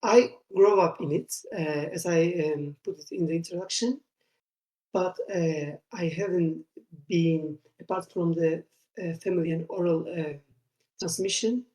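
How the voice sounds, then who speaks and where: A man's voice: on the high side, dark tone, muffled recording. Hesitant man. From southeast Turkey. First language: Kurdish. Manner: halting, many pauses and fillers. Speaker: podcast guest. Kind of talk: casual conversation. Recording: echoey room.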